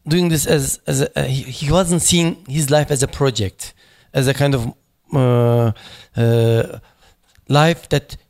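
A man speaking at a memorial panel, halting and searching for words, with many fillers and restarts in English that is not his first language. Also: mid-range voice, steady tone, a little mellow. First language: not English; foreign accent in Turkish noted.

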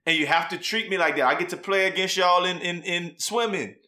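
A man's voice: high voice, fairly quick, pushed and bright. A man co-hosting a podcast, talking in a slangy, blunt, emphatic way as he gives casual commentary. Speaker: podcast co-host.

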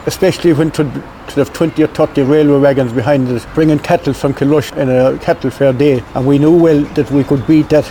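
Older irish man with a clare accent, mellow voice, rambly stories with little laughs. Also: a little high, fairly even intonation, fairly quick, hardly any pauses, a little hiss or hum, poor audio. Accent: Irish, County Clare. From Ireland. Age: 70s. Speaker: interviewee. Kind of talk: reminiscing, casual storytelling.

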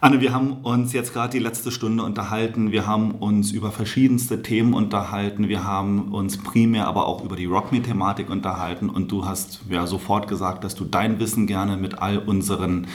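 A man hosting a business interview, speaking with energetic, enthusiastic greetings. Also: lowish voice, fairly quick, hardly any pauses. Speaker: interview host.

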